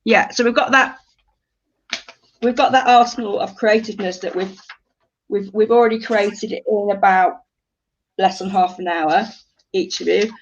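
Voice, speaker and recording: A woman runs a small creative writing class in a conversational style. Her voice sits at a middle pitch with a noticeable fry. She gives instructions politely and a little haltingly, on slightly muffled, low-fidelity audio. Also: fairly even intonation. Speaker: creative writing workshop leader. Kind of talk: conversational group teaching. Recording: dull lo-fi audio.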